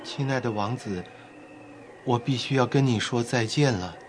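A male actor playing a small, kindhearted, loyal swallow in a fairy-tale drama, speaking in an earnest, soft-hearted way. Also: medium pitch, moderate pace, noisy background, lightly acted. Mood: tender, sad. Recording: faint music bed. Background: faint music.

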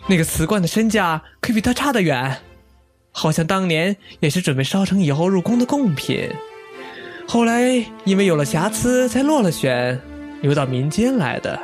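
A man acts out a shrewd young antiques connoisseur in an audio drama, his voice very lively in pitch over clear music. He speaks like an enthusiastic, expressive storyteller. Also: normal speed. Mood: storytelling, intriguing. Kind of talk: Chinese audio drama acting.

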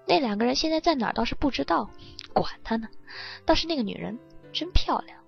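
On a slightly muffled recording with soft music behind, a woman plays a shipwrecked young woman in an audio drama. She is cool, teasing and languid, with a somewhat high voice. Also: expressive, fast talker, vocal fry. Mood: nonchalant.

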